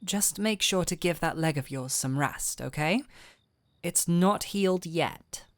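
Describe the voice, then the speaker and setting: A woman playing a gentle, caring physician in a fantasy audio drama, patient and soothing, with a softly teasing touch. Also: fairly low pitch, a little slow, no echo, lightly acted. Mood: coaxing, tender. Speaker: audio roleplay voice actor. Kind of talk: intimate scripted audio roleplay.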